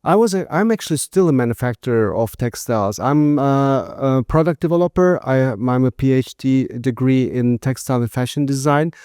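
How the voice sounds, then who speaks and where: A middle-aged man born in Germany, speaking English as a non-native with frequent pauses and fillers. His voice is smooth and resonant. It is recorded close and dry, with no room sound. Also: medium pitch, darkish tone, dry recording. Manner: halting, many uh fillers. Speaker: guest, textile manufacturer. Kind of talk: casual interview answers.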